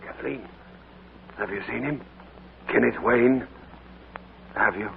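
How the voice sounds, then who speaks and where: Gravelly, gentle old uncle. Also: male voice, medium pitch, phone-quality sound. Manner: slow, soft, gravelly. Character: older man, grieving uncle, gentle and sorrowful. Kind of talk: 1940s radio acting. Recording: crackly old radio broadcast with loud noise.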